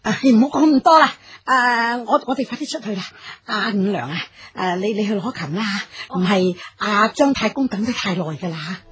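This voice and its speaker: A sensible older Cantonese mother , played by a woman in a radio drama. Her voice sits at a middle pitch, with the chiding, persuasive way of a long-married wife. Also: lively intonation, moderate pace, hardly any pauses, close to an everyday voice. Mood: coaxing.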